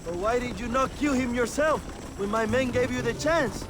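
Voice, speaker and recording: A man's voice: very high-pitched, moderate pace, background noise. An actor playing a vain, womanizing Mexican rebel leader in a video game cutscene, theatrical and grandiose, with a Mexican Spanish accent. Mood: rousing, grandiose. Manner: pompous, theatrical, effusive, peppering English with Spanish.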